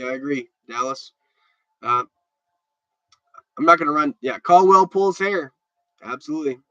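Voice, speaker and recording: A man's voice: mid-range voice, sharp tone, no room sound, muffled recording. A stuffed-up man hosting a casual livestream, chatting loosely and answering viewer comments with many restarts. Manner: rambling and chatty, with repeated restarts. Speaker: livestream host.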